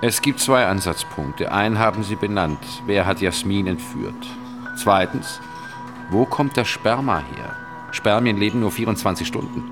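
An actor playing a cool, methodical private investigator, matter-of-fact and probing, in a radio drama. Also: male voice, fairly low pitch, lively intonation, moderate pace, music in the background. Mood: measured. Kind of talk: radio play acting.

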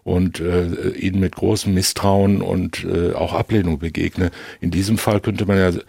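A man discussing film reflectively in halting, long-winded, analytical sentences. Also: sits low, flat delivery, vocal fry, dark tone, slightly rough, no echo. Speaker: podcast co-host discussing films.

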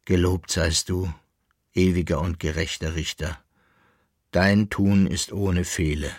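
A man voicing a wry, evasive fiddler who hesitates and feigns calm, in an audiobook story. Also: fairly low pitch, unhurried, creaky, breathy edge, no room sound, studio quality, full character voice. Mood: solemn, composed. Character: wry, evasive, forgetful male fiddler, resigned yet cheerful.

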